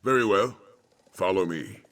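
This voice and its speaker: A man's voice: deep voice, a little slow, fairly bright tone. A man playing a grave, courteous warrior mentor in a fantasy game, speaking formally and earnestly. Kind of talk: fantasy video game dialogue.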